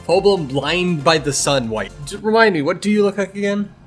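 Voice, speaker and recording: A man's voice: high-pitched, normal speed, soft background music, lightly acted. A man voicing a snarky, exasperated blind medicine cat in an improvised fan Q&A, rambling sarcastically and swearing. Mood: sardonic. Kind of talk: improvised character Q&A in casual chat.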